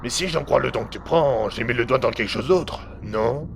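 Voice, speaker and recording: Blunt, slangy underworld agent played by a man, very creaky voice, interrogating, for radio drama. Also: mid-range voice, normal speed, over music, lightly acted. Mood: probing, wary. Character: suspicious, hard-nosed male underworld agent, pressing for answers. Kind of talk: audio drama acting.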